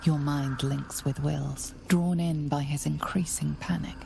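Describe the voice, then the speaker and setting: A woman playing a solemn, watchful storyteller, narrating a fantasy game in a measured, hushed, grave way. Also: low-pitched, steady tone, creaky, background noise, exaggerated voice. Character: solemn, watchful female storyteller guiding an adventurer.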